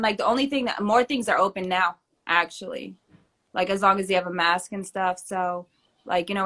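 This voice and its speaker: A young woman chats easily in an interview, her voice sitting at a middle pitch. She leans on fillers and laughs often as she talks, relaxed and open. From born in Germany to Turkish parents, raised in the US. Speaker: rapper and entrepreneur, guest. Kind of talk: casual interview chat.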